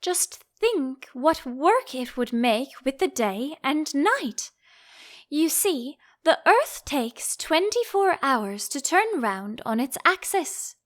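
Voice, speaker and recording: Woman putting on an earnest, high-voiced little girl. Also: sing-song, slow, exaggerated voice. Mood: prim, eager. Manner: earnest, prim. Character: polite, earnest little girl, eager to show off.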